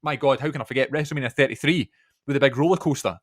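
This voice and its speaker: A man chats on a podcast in an enthusiastic, rambling way, full of ums and restarts. His pitch sits in a middling range. The recording is dry and close. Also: rapid-fire, no echo. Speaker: podcast co-host. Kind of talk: casual chat.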